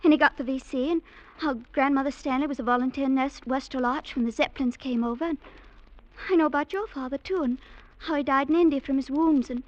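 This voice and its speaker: A girlish sixteen-year-old British daughter acted by a woman in an old radio play. She is polite, eager and earnest, very high in pitch, on a slightly dull recording. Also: steady tone, fast, lightly acted. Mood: earnest, curious. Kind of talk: 1940s radio drama acting. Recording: muffled vintage radio recording.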